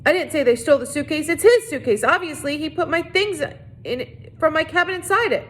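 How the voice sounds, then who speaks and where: A woman's voice: expressive, brisk, fairly bright tone. A woman reading a storybook, voicing a domineering, frantic woman warden who gives insistent orders. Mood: frantic, indignant. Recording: noisy recording with low rumble.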